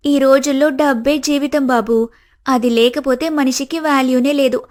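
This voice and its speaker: An actress plays a young woman in a cartoon story, coy and materialistic, with a cutting tongue. Her voice is clearly high. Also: fairly even intonation, normal speed, a little mellow, lightly acted. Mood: scornful. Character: coy, teasing, money-minded, scornful young woman. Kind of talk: scripted cartoon story dialogue.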